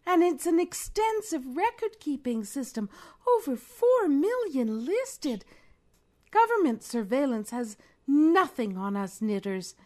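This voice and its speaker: A woman's voice: high-pitched, sing-song, takes it slowly, warm tone, full character voice. A woman playing a flirtatious, helpful knitting shop clerk in a spy spoof, coy and melodramatic.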